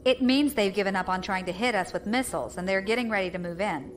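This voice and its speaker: A woman's voice: medium pitch, fast talker, faint music behind, natural voice. Woman playing a cool, decisive fleet leader. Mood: calm, confident. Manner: calm, commanding, dry. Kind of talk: audio drama acting.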